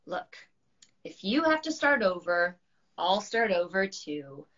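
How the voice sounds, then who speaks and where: Lady doing a braggy but sweet storybook dragon, bright voice that jumps up high, kinda dull audio. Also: big pitch swings, slow, full character voice. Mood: apologetic. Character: boastful but kindly dragon poet, a storybook creature.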